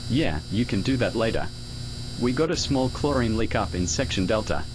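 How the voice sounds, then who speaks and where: A man's voice: lowish voice, expressive, moderate pace, close to an everyday voice. A man playing a bossy, impatient plant supervisor in an amateur audio drama, curt and commanding. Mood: stern. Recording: clear electrical hum.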